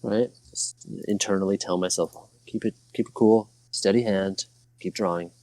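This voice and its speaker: A man with a low, creaky voice plays a tabletop game. He describes his moves casually and a little hesitantly, recorded dry and close. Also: steady tone, normal speed, a little mellow, no room sound. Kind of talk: casual first-person roleplay chat.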